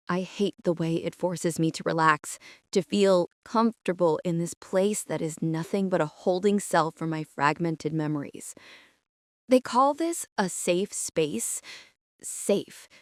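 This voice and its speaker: A woman playing a traumatized, meticulous archivist, narrating a psychological horror drama in a brooding, deliberate way. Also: fairly low pitch, no echo, clean studio sound. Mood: guarded, bitter. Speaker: narrator and lead character of an audio drama.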